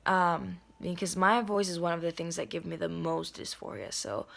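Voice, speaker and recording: A young adult nonbinary vlogger talks casually to the camera at home. The voice is a little hoarse and raspy, and the talk is earnest, full of ums and likes. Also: mid-range voice, little pitch movement, moderate pace, a bit bright. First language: Spanish. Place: indoors at home.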